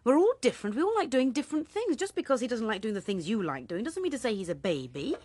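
British mother, animated and high. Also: fast talker, no echo. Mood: fond, chiding. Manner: maternal, expressive. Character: fussing, chiding suburban mother, easily alarmed. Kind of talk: British radio horror drama acting.

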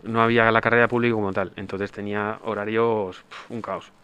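A man's voice: lowish voice, little pitch movement, fairly quick, a bit bright, clear, resonant tone, muffled recording. A young man telling a casual story, slangy and exasperated. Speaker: guest. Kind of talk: casual conversation.

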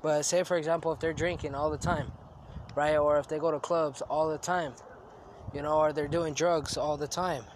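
A man with a cold hosts a solo podcast, sniffling as he talks. His voice sits a bit high for a man, and he rambles loosely with many fillers. Faint street and traffic noise hums underneath. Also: steady tone, moderate pace. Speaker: podcast host. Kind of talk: casual solo podcast talk.

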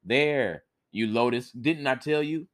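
A man's voice: medium pitch, unhurried, lightly acted. A man voicing a boastful, foolish man from a folktale who turns whiny and pleading.

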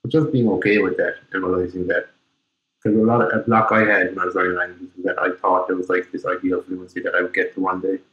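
A low-voiced man hosts a casual interview chat. He talks in a loose, relaxed way and hedges often with fillers. The audio sounds dull and lo-fi. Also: fast. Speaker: podcast host.

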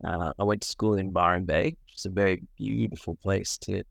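A man with a very deep voice talks earnestly about his life, often pausing to gather his words. The sound is dry and close. He is from Sri Lanka. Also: fairly even intonation, gravelly, no echo. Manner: earnest, with frequent pauses and fillers. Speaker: guest, medical doctor. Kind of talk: reflective interview answers.

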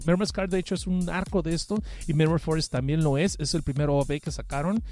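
A middle-aged Mexican man speaks in a fairly high register, with a cold in his voice. He hosts a live stream in an informal, slangy way, poking fun at himself as he reads comments. Music plays behind him. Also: darkish tone. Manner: informal, slangy, self-deprecating. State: has a cough and cold. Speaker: podcast host. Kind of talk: casual live stream chat, reading listener comments.

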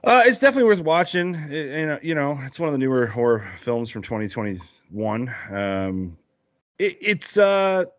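Lively rambling American guy podcast guest. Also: mid-range voice, normal speed, dry recording, dull audio. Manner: rambling, lots of fillers and restarts, laughs easily. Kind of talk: casual podcast chat.

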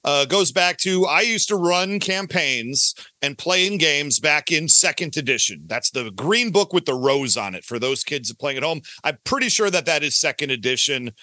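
A man's voice: a little high, expressive, moderate pace, few pauses, pushed and bright, no room sound. A man from Detroit with an American accent, chatting casually and emphatically as a podcast guest who explains his creative work. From Detroit, United States.

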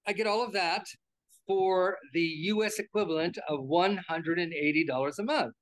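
Older man, high-pitched, American, interview guest, rambling, repeats words. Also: moderate pace, slight background noise, low-quality recording. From United States. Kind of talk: casual interview talk.

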